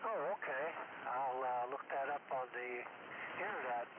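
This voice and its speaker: Male radio hobbyist, halting casual delivery, squeezed narrowband two-way signal, loud static-like noise throughout. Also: mid-range voice. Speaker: amateur radio operator.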